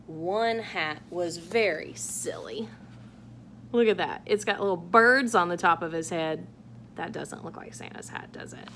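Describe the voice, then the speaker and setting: Animated woman reading to kids, noisy recording. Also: medium pitch. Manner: playful, exaggerated wondering questions to young listeners. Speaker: children's read-aloud host. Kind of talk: animated picture-book read-aloud for young children.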